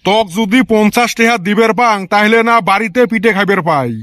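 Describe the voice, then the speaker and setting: A man's voice: high voice, fairly even intonation, a little fast, natural voice. A man voicing a thrifty, grumbling village father in a comic cartoon, dryly sarcastic and weary of demands. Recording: clearly noisy track with clicks.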